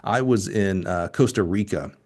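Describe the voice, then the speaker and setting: A middle-aged man from Alabama hosting a casual interview, talking in an effusive, halting way and often restarting his thoughts. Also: low-pitched. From Alabama, United States. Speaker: podcast host.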